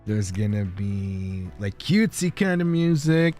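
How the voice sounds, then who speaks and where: A man's voice: on the low side, big pitch swings, unhurried, darkish tone. A male streamer chatting casually, rambling with lots of fillers and frequent laughter. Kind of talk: casual livestream chat. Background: faint background music.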